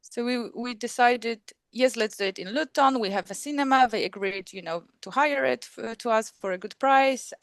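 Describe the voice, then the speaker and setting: A woman with a Polish accent, talking as a guest about running a film festival, halting with many restarts and small laughs. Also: a little high, dry recording. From Poland. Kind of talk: casual interview chat.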